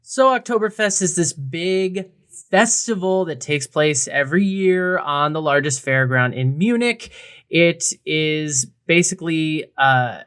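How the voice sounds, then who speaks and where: Podcast cohost just chatting, mid pitch, wry n sarcastic, slangy and jokey. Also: fairly bright tone, clean tone. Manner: casual, slangy. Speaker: podcast co-host. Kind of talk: casual comedic chat about a beer festival.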